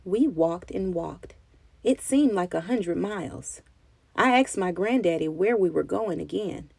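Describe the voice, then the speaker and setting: A woman reading a children's story aloud in an expressive, deliberate storytelling style. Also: fairly low pitch, creaky, breathy edge, no echo, rough recording. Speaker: children's book reader.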